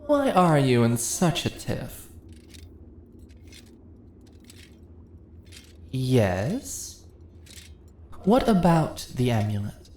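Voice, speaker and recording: A deep, mellow-voiced immortal mistress of a fantasy dungeon, acted by a woman, sly and commanding. She teases and condescends with slow, theatrical persuasion, recorded in an echoey room. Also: wildly swooping pitch, exaggerated voice. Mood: amused, soothing. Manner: teasing, condescending, theatrically persuasive, uses pet names. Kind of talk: scripted fantasy ASMR roleplay. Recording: echoey room with faint noise.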